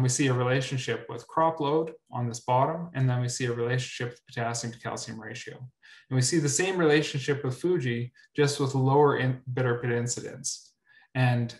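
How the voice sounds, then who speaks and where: Man presenting on a video call, roomy. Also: medium pitch. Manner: repeats words often, many ums. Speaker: researcher presenting at a grower meetup. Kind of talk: webinar presentation. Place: indoor room. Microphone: webcam on video call. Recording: roomy echo.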